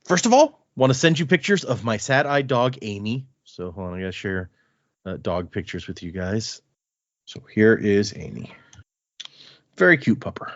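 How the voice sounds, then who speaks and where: A man in the host's chair reads a listener email aloud, his voice at a middle pitch and swinging up and down. He keeps breaking off to add quick asides of his own before picking the letter back up. The sound is close and dry, with a slightly dull, lo-fi edge. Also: no room sound. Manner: reads with lively asides and comments between lines. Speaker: podcast host.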